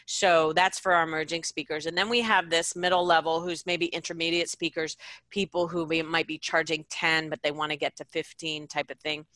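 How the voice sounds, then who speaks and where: A woman's voice: sits low, flat delivery, brisk, fairly bright tone, no echo. A middle-aged woman answering interview questions, explaining her business plainly. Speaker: guest, speaker coach.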